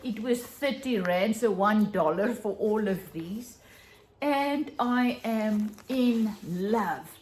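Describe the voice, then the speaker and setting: A woman's voice: mid-range voice, takes it slowly, darkish tone, slight background noise. A middle-aged South African woman whose first language is Afrikaans, chatting to camera in a gushing, enthusiastic way about things she has found. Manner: gushing, enthusiastic, exclaiming over small finds. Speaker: vlogger. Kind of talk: casual chat to camera.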